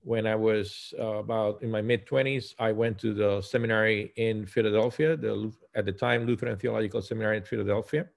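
A man in his fifties from Puerto Rico with a Puerto Rican accent, a native Spanish speaker, talking conversationally as a guest pastor on a video call, halting and correcting himself. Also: lowish voice, steady tone, gravelly. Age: 50s. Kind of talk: conversational personal account. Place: small room at home, indoors.

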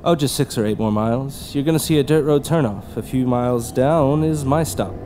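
A man's voice: medium pitch, moderate pace, background noise, close to an everyday voice. An actor playing an eerie, overly polite and cheerful young man hitchhiking with kidnappers. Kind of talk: audio drama acting.

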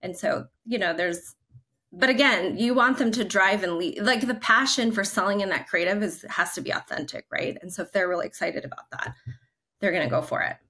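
A woman in her forties speaks on a video call from her living room, her voice bright and forward. She talks in an upbeat, chatty way, full of loose fillers as she explains her ideas. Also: medium pitch, a little fast. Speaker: guest, creative brand leader. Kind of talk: casual video-call interview. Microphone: video call, laptop camera.

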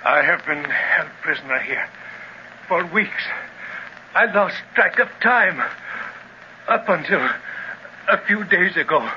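Feverish rescued prisoner, 1930s radio drama, raised pitch, dull lo-fi sound. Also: male voice, expressive. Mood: weak, feverish. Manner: halting, breaks off mid-sentence. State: weak and faint from fever. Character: feverish kidnapped male investor, weak and grateful. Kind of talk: 1930s radio serial acting. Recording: dull old radio transcription with faint hiss.

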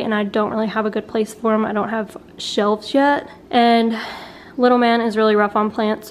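A young woman casually narrating a walkthrough of her home as she films it, talking in a chatty, offhand way. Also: on the high side. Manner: chatty, offhand, trails off mid-thought. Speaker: vlogger giving a home tour. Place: rooms of a mobile home. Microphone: handheld camera while walking.

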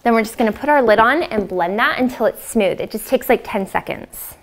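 A woman's voice: a little high, expressive, brisk. A woman in her thirties with an American accent, casually explaining a recipe step by step to camera as a cooking host. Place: home-style kitchen, indoors. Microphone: lapel mic.

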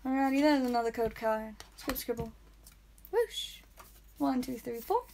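Woman, high pitch, calming voice, playful live-stream host, faint background hiss. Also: moderate pace, gravelly. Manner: playful, says sound effects aloud. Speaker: trading card stream host. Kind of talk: live pack-opening stream chat. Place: indoor room with whiteboard.

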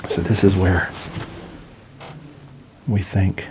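Easygoing young male hunting host, deep mellow voice, flat steady delivery, faint hiss behind. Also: slow. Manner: easygoing, plainspoken, talks his plan through. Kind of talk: casual on-camera hunting talk. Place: inside a small hunting blind.

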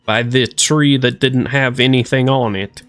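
A man in his thirties gives laid-back, unhurried commentary while he plays a game. He mutters to himself and drifts into silly little voices, over faint game music at his home desk setup. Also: mid-range voice, steady tone, fairly bright tone. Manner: goofy, talks to himself, playful silly voices. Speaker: let's play streamer. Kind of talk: casual gameplay commentary.

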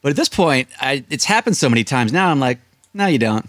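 An American man in his thirties chats as a podcast guest. His pitch sits in the middle and the recording is close and dry. He tells stories casually, slipping into quoted remarks as he goes. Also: animated, brisk, fairly bright tone, no echo. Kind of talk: casual interview anecdotes. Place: small indoor room, seated at desk. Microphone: close desk microphone on video call.

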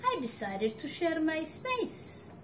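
A middle-aged woman reads a children's picture book in a fairly high voice with a very lively rise and fall. She takes her time, pausing between phrases for expression. Clear room noise sits behind her. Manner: deliberate, expressive phrasing with pauses. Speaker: storytime reader for children. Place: living room at home, indoors.

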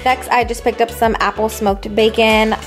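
A woman's voice: a little high, flat delivery, moderate pace, over music. A young adult woman talking casually and informally, in a slangy, conversational vlog style. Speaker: vlogger showing a grocery haul. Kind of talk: casual chatty vlog voice-over.

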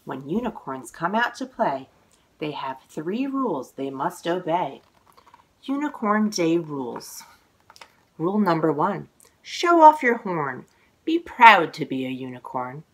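Slow, animated woman reading to children. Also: low voice, low-quality recording. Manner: expressive, exclaiming, drawing out words for young listeners. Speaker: children's author reading own picture book aloud. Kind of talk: animated children's read-aloud storytelling. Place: living room at home, indoors.

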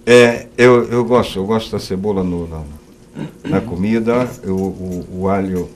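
A middle-aged man with a fairly low voice hosts a cooking segment in a TV studio kitchen. He talks casually as he cooks and explains every step. There is a faint hiss under the audio. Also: unhurried. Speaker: cooking show host. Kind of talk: casual chat while cooking.

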